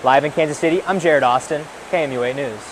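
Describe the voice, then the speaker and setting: Higher-pitched male TV news reporter, faint noise. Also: quick pace. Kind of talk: scripted news reporting, narration and stand-ups.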